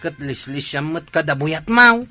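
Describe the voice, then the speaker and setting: A man acting a cheeky, nosy young village helper in an Ilocano comedy radio drama, blunt and loud-mouthed. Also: on the high side, expressive, measured pace, full character voice. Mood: accusing. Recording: prominent music behind the voice.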